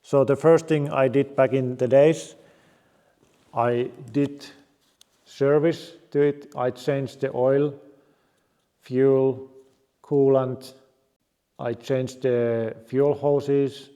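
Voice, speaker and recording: A Finnish-accented man talks at an unhurried pace in a soft, mellow voice, his English plain and a little halting. His speech carries a long reverberation from a bare home garage. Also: medium pitch. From Finland. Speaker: car restoration vlogger. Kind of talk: casual presentation to camera.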